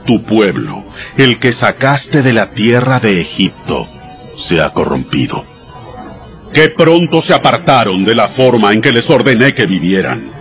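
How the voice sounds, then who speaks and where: An actor playing God as a stern, wrathful, commanding deity in a dramatized audio Bible. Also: male voice, fairly low pitch, very animated, unhurried, a bit bright, faint music behind, full character voice.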